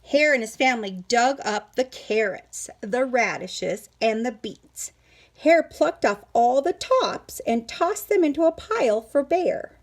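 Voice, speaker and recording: Animated higher-voiced woman reading a picture book. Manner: animated, questioning, explaining to young listeners. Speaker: teacher reading aloud to children. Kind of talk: expressive picture-book read-aloud with asides to listeners.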